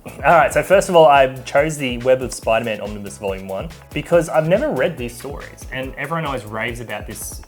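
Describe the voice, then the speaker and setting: A man's voice: medium pitch, lively intonation, brisk, soft background music. Chatty man with a cold. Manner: chatty and playful. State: voice rough from a cold. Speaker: co-host. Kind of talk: casual chat.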